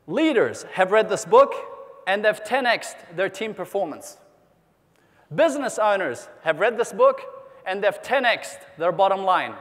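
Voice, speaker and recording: A man with a high voice delivers a motivational keynote to a convention crowd. He keeps the audience involved with questions and calls for applause. His voice rings out with a long hall reverb. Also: a bit bright. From New Zealand. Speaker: motivational speaker.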